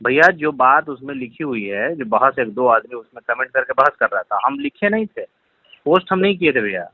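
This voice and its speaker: A man's voice: medium pitch, sing-song, a little fast, dry recording. Pleading Bihari man on a lo-fi phone call. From Bihar, India. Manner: deferential, pleading, repeatedly saying brother. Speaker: caller being confronted over a social media comment. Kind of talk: phone argument, apologetic explanation. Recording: tinny, lo-fi recorded phone call.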